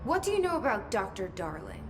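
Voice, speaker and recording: A woman's voice: medium pitch, big pitch swings, a little fast, background noise, natural voice. A woman playing an inquisitive, matter-of-fact heroine in a video game, asking direct questions in a natural conversational way. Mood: curious. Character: inquisitive, matter-of-fact woman leader exploring a strange agency.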